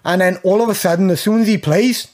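A man's voice: high voice, quick pace. A man with a lisp, tipsy and swearing as he tells stories as a podcast guest. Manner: sweary, animated storytelling. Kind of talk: casual banter.

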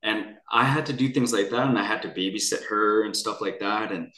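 A man's voice: on the low side, brisk, pushed and bright. A young adult man from Canada, telling his story as an interview guest in an earnest, rambling way with many restarts. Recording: echoey room.

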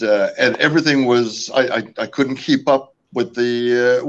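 A man's voice: medium pitch, lo-fi sound. A man from California, raised speaking French at home, talking about his company's products in a casual interview, with many fillers and restarts. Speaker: company representative.

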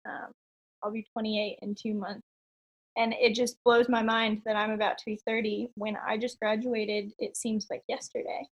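A woman in her late twenties chats as a podcast guest, upbeat and talkative. Her voice sits a bit high for a woman. She fills pauses with ums and small laughs. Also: little pitch movement, dull audio. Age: 20s. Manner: upbeat, chatty, frequent ums and little laughs. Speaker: podcast guest, online entrepreneur. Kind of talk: casual interview chat.

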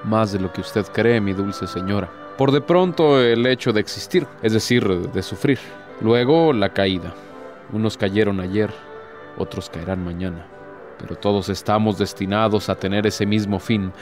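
An actor playing an ancient, courtly Devil in a radio drama, a sly and despairing tempter who speaks formally and insinuatingly. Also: male voice, on the low side, moderate pace, close to an everyday voice. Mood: sly, seductive. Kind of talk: theatrical radio drama acting. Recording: music behind the voice.

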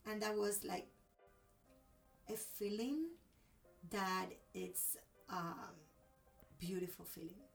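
Creaky-voiced Cuban woman telling her story. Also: medium pitch, fairly even intonation, slow, pauses often, far from the mic, soft background music. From Cuba. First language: Spanish. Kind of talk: personal storytelling in a conversational interview.

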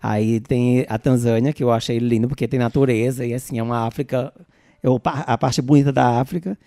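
A young man with a São Paulo accent in relaxed casual chat, drawing out his words and breaking into sudden exclaiming bursts. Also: mid-range voice, fairly quick, no room sound. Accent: São Paulo Portuguese. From Brazil.

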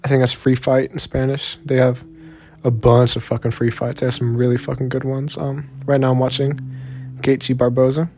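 A man's voice: mid-range voice, little pitch movement, normal speed, a little mellow, soft background music. A young man with a Southern Texan accent hosting a solo podcast, rambling in a laid-back, profane way with plenty of fillers. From Texas, USA. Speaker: podcast host.

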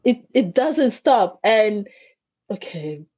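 A woman whose first language is Greek, a guest in an interview who tells stories in an animated way and acts out the voices she quotes. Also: medium pitch, slow, husky, no room sound, highs cut off. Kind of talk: conversational interview.

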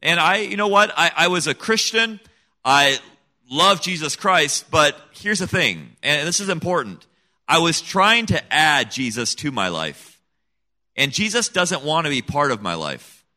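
A man's voice: a little high, very animated, unhurried, pushed and bright. A middle-aged man raised in Senegal, giving an earnest, emphatic personal testimony as an interview guest. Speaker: guest, missionary kid.

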